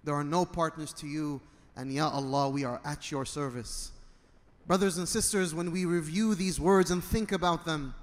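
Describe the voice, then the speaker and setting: A man in his thirties preaching a sermon as an imam, phrasing deliberately and emphatically in short bursts. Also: on the high side. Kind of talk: sermon with Arabic recitation. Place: indoor prayer hall at a pulpit. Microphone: microphone on a stand. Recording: long hall reverb.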